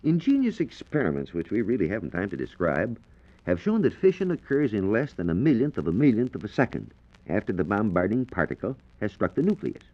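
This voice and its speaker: A man with a lowish American voice explains science as a 1940s radio reporter. His delivery is precise and instructive, with lively rises and falls, on a muffled vintage broadcast recording. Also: brisk.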